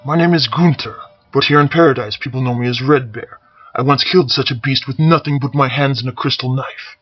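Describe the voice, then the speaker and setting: A man voicing a big, jovial, boisterous male warrior in a storybook reading, with a husky voice. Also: medium pitch, brisk, dull audio, close to an everyday voice.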